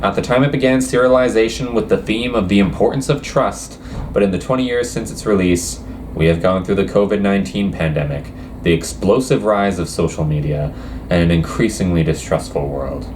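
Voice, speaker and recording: A man's voice: fairly low pitch, a little fast, darkish tone, slight background noise. A young man casually reacting to anime news, reading quotes aloud and rambling with lots of hesitations.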